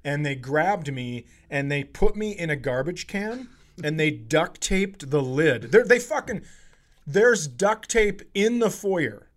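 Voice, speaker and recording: Male comedian host, forties, American, fairly high voice, sweary casual riffing. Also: animated, slow. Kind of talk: casual comic chat.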